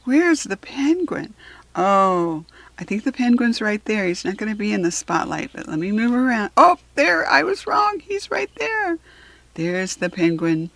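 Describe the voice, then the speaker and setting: American woman, children's book reader. Slow, instructive, chatty asides, dry close sound. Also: medium pitch, sing-song, creaky, a bit bright, no room sound. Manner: deliberate, instructive, simply explaining to a young listener.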